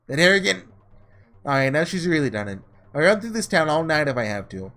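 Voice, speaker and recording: A man's voice: fairly high pitch, big pitch swings, fairly quick, sharp tone, full character voice. A man voicing a hot-headed, bewildered schoolboy in a visual novel, exclamatory and indignant. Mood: angry.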